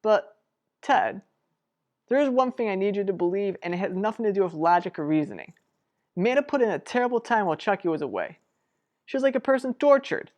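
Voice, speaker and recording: An actress playing an earnest, protective sister in a radio soap drama, speaking deliberately and persuasively. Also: female voice, medium pitch, big pitch swings, darkish tone, natural voice. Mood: pleading. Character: earnest, protective sister pleading for a troubled couple. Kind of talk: revived vintage radio soap drama acting.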